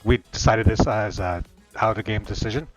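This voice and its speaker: A man's voice: on the low side, normal speed, rough recording. A man chatting casually in a role-play session, telling stories in loose, repetitive phrases. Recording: music playing behind the voice. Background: music.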